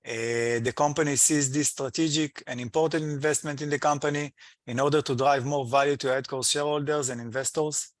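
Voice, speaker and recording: A man presenting company financial results as an executive on an earnings call, in a halting, hesitant delivery with slightly non-native phrasing. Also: medium pitch, steady tone, dry recording.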